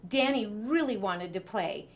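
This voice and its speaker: A woman reads aloud as a storyteller. She narrates a children's picture book expressively, at a medium pitch for a woman. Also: animated, a little slow.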